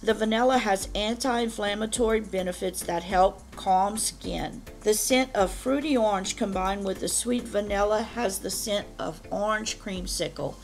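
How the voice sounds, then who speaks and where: Slow, gravelly woman beauty vlogger. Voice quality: deep and rough. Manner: slow, careful reading of product copy. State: rough, strained voice from allergies. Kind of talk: reading product descriptions to camera.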